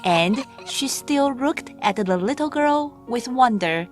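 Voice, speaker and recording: A woman narrating a children's storybook from a script in a measured, deliberate read-aloud delivery. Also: a little high, lively intonation. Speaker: audiobook narrator. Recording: music bed under the voice. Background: background music.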